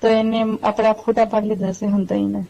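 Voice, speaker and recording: A woman's voice: medium pitch, flat delivery, fairly quick, vocal fry, mellow, lightly acted. A woman playing an anxious middle-aged married woman pleading with her young lover, hesitant and repetitive, in a staged phone-call drama. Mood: uneasy confiding. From India. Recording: dull lo-fi phone call recording.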